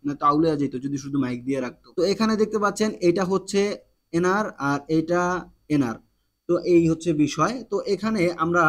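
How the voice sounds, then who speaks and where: A man with a Bangladeshi accent hosting a casual step-by-step app tutorial, explaining and often checking that the listener follows. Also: on the high side. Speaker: tutorial host.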